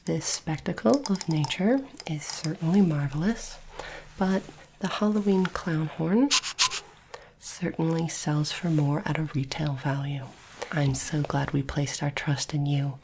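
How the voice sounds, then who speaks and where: A woman doing a soft-spoken, whispered ASMR roleplay as a polite, formal alien interviewer, with deliberate phrasing. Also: sits low, slight background noise, rough recording.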